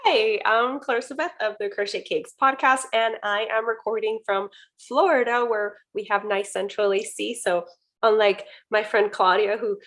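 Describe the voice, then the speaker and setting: A woman's voice: medium pitch, steady tone, normal speed, a bit bright. A woman co-hosting a casual crochet podcast, friendly and rambling, often restarting her sentences. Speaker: podcast co-host. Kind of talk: casual chat. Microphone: video call recording.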